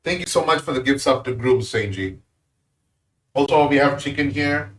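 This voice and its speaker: A man's voice: mid-range voice, animated, normal speed. Indian guy streaming, chatting to chat while he cooks, roomy sound from a bit far off. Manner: easygoing, chats with viewers while working. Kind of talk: casual live-stream chat while cooking. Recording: roomy sound, mic at a distance.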